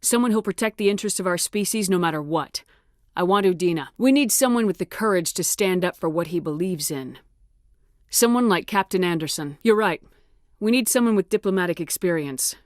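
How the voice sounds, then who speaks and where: Woman acting a low-voiced resolute space commander. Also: dry recording, clean studio sound, close to an everyday voice. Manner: firm, clipped, commanding. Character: resolute female military space commander, tough and authoritative. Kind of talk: scripted sci-fi video game dialogue.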